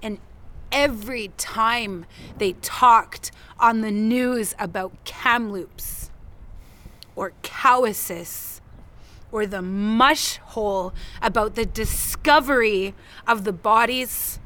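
Wounded defiant woman, audio drama, sardonic, deliberate, higher-pitched, unhurried delivery. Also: a bit bright. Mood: grieving, earnest. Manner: deliberate, pointed, with weighted pauses. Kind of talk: naturalistic audio drama dialogue.

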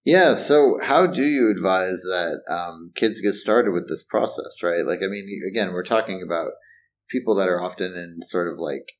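Upbeat lower-voiced male podcast host. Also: animated, moderate pace. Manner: upbeat, casual, uses "right?" and "like". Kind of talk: podcast hosting and interviewing.

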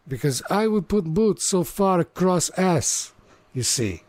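Man doing a loud, jolly orc brute. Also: sing-song, unhurried, no echo. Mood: boastful. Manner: broken English, booming and blunt. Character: huge jovial male orc chef.

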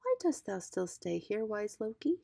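Woman reading a sweet, simple young goddess, soft and pleading, close and dry. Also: medium pitch, very animated, moderate pace, gravelly, no echo, voice pushed up. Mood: wistful.

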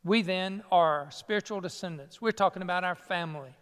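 A man pastor preaches in a measured, emphatic way. His voice is high and resonant. It carries with a long reverb through a church sanctuary. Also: fairly bright tone, clean tone. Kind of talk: sermon. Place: church sanctuary near Atlanta.

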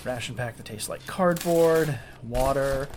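A man playing a rattled, sarcastic starship chief engineer who mutters haltingly to himself in an audio drama. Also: medium pitch, noisy background, natural voice. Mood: dazed, wry. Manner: halting, self-talking, wry.

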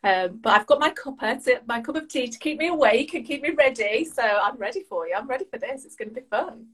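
A woman's voice: on the high side, expressive, brisk, husky. A woman with an English accent, a guest on a podcast, chatting enthusiastically over a video call and restarting her words. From United Kingdom. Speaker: guest coach. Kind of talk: casual chat.

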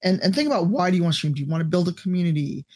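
A woman with a deep voice, a podcast guest and streamer giving blunt, profane advice in casual chat. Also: animated, racing, warm tone.

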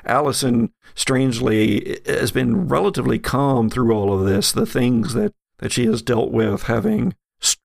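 A man's voice: mid-range voice, expressive, measured pace, creaky, hardly any pauses, no room sound, studio quality. A man acting as game master, improvising narration for a tabletop horror game.

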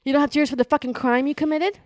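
A woman's voice: high voice, steady tone, rapid-fire, dry recording, studio quality, close to an everyday voice. A woman playing a hostile bad cop who goads and swears at a suspect during an interrogation. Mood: menacing. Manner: sneering, goading, repeats "huh?", swears.

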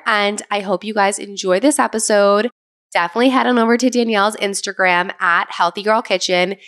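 A woman's voice: mid-range voice, fairly bright tone. A young woman hosting a podcast, sounding stuffy and nasal from allergies, gushing in a casual chat from her bedroom floor. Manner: bubbly, effusive. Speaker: podcast host. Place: on the floor next to her bed at home.

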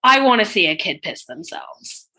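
A woman's voice: mid-range voice, sing-song, normal speed, bright, forceful tone, low-quality recording. A woman playing an eager, kind-hearted newcomer ghost in an improvised comedy sketch, chatty and a little hesitant.

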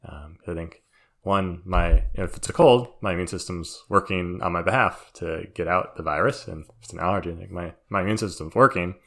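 A man's voice: low-pitched, fairly quick, fairly bright tone. A man co-hosting a podcast, reasoning aloud thoughtfully in casual chat. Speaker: podcast co-host.

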